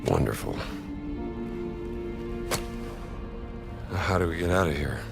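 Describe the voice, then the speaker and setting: An actor playing a quick-witted middle-aged American archaeologist in a cinematic adventure game. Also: male voice, low-pitched, expressive, gravelly, over loud music. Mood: urgent. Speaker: game protagonist.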